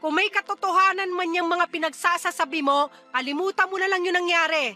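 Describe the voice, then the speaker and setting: A woman's voice: extremely high pitch, fairly quick, pushed and bright. A woman playing a callous, scornful mother in a radio drama, scolding and sarcastic as she sides with her lover. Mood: defensive, dismissive. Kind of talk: Tagalog radio drama acting. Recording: dramatic music under the dialogue.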